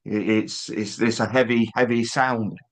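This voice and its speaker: A man's voice: on the low side, steady tone, unhurried. A man hosting a casual interview over a video call, friendly and hesitant with "um". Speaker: host.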